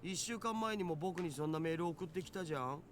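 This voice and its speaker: Actor as a high-voiced geeky young guy. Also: rapid-fire, close to an everyday voice. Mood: casual, puzzled. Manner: slangy, laid-back. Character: casual, geeky young male hacker sidekick. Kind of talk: Japanese visual novel voice acting.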